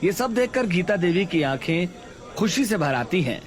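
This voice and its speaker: A man's voice: sits high, big pitch swings, normal speed. A man reading scripted storybook narration for a Hindi cartoon tale. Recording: background music under voice.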